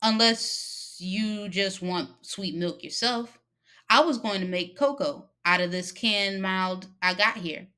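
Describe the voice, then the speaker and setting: A woman's voice: medium pitch, slow pace, bright, forceful tone, clear, resonant tone. Woman acting out firm, scolding, motherly older woman. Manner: firm, folksy, emphatic scolding. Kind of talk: dramatized short story read-aloud.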